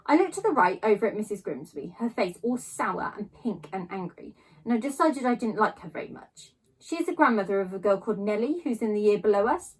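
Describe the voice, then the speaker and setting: Woman, children's story narration, animated intonation, husky tone, expressive emphasis. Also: mid-range voice, fairly quick, poor audio. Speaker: storybook reader. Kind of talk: scripted narration of a children's novel in a child's first person.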